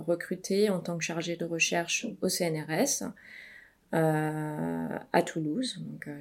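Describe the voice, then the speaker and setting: Brief: middle-aged woman from Iran, slightly low voice, thoughtful, halting answers full of fillers. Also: vocal fry, a little mellow. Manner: many filler pauses, thoughtful. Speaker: research mathematician, interviewee. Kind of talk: hesitant interview answers.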